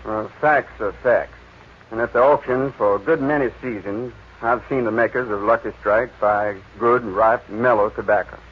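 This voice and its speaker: A man's voice: lowish voice, fairly even intonation, normal speed, slightly rough. A man giving a scripted testimonial in a 1940s radio commercial. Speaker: tobacco warehouseman giving a testimonial. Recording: muffled 1940s radio recording.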